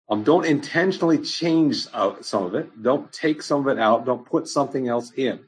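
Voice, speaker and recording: Man pastor, husky voice, loose conversational Bible teaching, lots of ums. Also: mid-range voice, rough recording. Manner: conversational, many ums and restarts. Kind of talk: informal Bible study answering questions.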